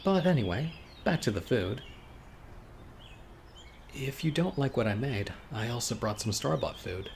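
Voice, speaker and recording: A man playing a gentle, eager, critically ill young man with a secret obsessive streak on a date, in an audio roleplay, speaking hesitantly and softly with muttered asides. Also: medium pitch, lively intonation, normal speed, vocal fry, pauses often, slight background noise. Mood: eager, shyly tender. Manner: hesitant, soft-spoken, with muttered whispered asides. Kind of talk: roleplay audio drama, intimate boyfriend monologue. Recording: outdoor nature ambience, birds and insects. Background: birdsong, crickets and insects.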